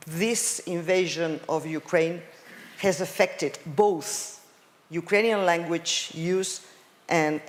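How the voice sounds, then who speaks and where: A man from the audience asking a panel a question in a deliberate way. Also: fairly high pitch. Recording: long hall reverb.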